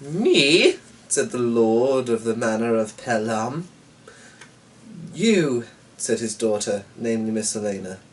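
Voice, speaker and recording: A man reading a story aloud and rambling to himself, with hesitant, drawn-out musing and muttered asides. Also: fairly low pitch, flat delivery, a little mellow. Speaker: host and story reader. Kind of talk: rambling solo chat and dramatic story narration. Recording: distant mic with faint background noise.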